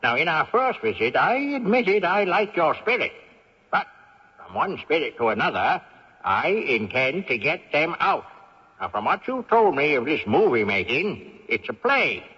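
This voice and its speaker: A man's voice: fairly high pitch, very animated, a little slow, sharp tone, husky, full character voice. An actor playing the mischievous, stubborn ghost of an old great-grandfather in an old-time radio drama, with a sly, self-satisfied, old-fashioned manner. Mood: sly, determined. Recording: dull old radio recording, long reverb.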